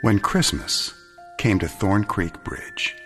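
A man narrating a story for a radio drama in a measured, theatrical way. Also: on the low side, animated, fairly bright tone, in a large hall, faint music behind.

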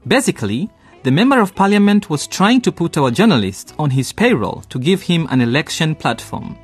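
Wry, husky male radio presenter. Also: a little high. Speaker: narrator presenting an educational radio drama. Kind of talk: scripted host outro.

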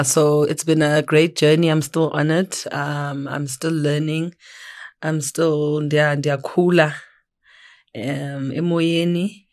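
Woman, south african accent, low pitch, soft-spoken, reflective interview guest. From Eastern Cape, South Africa. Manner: soft-spoken, reflective, mixes English and isiXhosa. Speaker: guest, traditional healer. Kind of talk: interview answers.

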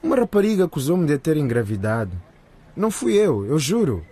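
Nervous teen boy on the phone w his dad, kinda husky, high-ish and jumpy. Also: big pitch swings, brisk, dark tone. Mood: anxious. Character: teenage boy, anxious son. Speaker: radio drama actor. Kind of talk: radio drama acting.

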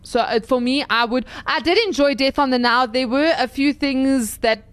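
A woman's voice: high-pitched, moderate pace, fairly bright tone, no echo. A woman co-hosting a movie review show, chatting casually and enthusiastically about a film, with frequent restarts.

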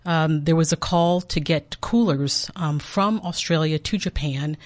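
Measured woman in her fifties. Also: deep voice. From United States. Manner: measured, friendly, with soft laughs and fillers. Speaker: diplomat, interview guest. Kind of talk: conversational interview answers.

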